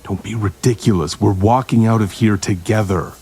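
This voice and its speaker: An actor playing a grim, protective male investigator who talks tersely and deliberately in an audio drama. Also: on the low side, steady tone, warm tone, breathy edge. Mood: tense, protective.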